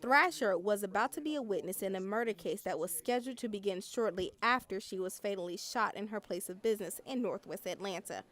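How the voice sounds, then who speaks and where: A woman's voice: medium pitch, a little fast, few pauses, fairly bright tone. A woman with an American accent reads scripted narration as a television news reporter.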